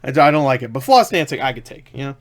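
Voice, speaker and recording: A man's voice: mid-range voice, lively intonation, fast talker. A man giving a casual, unscripted film review in an enthusiastic, emphatic, rambling way, often repeating himself.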